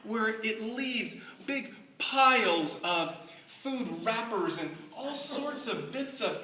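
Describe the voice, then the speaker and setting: A middle-aged speaker telling a tall tale to a live audience in a deliberate, mock-scholarly way with dramatic pauses. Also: mid-range voice, expressive, pushed and bright, distant mic. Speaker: speaker giving a humorous club speech. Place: indoor room with live audience. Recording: echoey room from afar, faint noise.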